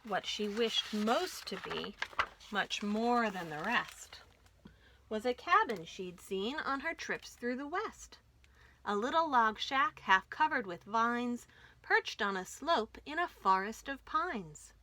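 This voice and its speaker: A woman hosting a children's storytime, reading aloud with an expressive, deliberate delivery for young listeners. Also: fairly high pitch. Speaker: children's librarian and storytime host.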